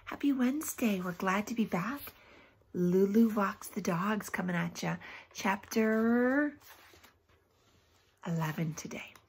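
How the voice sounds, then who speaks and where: Female primary-teacher type, gravelly fry and husky edge, animated storybook reading with pauses and prompts for kids. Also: medium pitch. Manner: animated, pausing often for emphasis and to prompt listeners. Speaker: teacher reading a children's book aloud. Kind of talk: expressive read-aloud with questions to young listeners.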